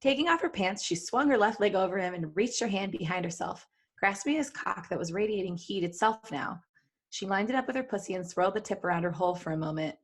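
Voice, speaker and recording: A woman's voice: mid-range voice, fast talker. Giggly woman reading fan fiction aloud. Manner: giggly, playful. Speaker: podcast host.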